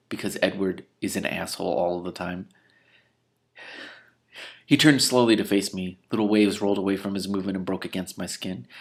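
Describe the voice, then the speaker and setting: A man's voice: deep voice, fairly bright tone. A man reading a novel aloud as host, breaking into casual, sarcastic and irreverent commentary. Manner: sarcastic, irreverent, incredulous outbursts between read passages.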